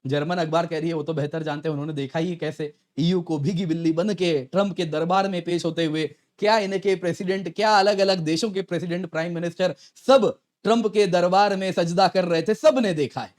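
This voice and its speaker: High-pitched man explaining news. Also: fairly quick, a little mellow. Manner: conversational, rhetorical questions, addresses viewers as friends. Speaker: current affairs educator and presenter. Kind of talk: explanatory news commentary to viewers.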